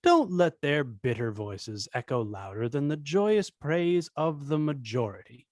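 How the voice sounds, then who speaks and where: Guy doing a self-important villain politician, animated, kinda high, dry recording, pompous, table read. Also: dry recording. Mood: placating. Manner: pompous, deliberate.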